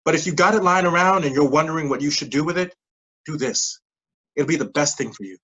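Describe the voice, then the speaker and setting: An actor as a smooth-talking recruiter, slightly husky, mid pitch, insistent, evasive, clipped phrases, staged play reading. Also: quick pace, a bit bright, muffled recording. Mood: persuasive, intense. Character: smooth-talking, pushy pyramid-scheme recruiter mentoring a junior coworker.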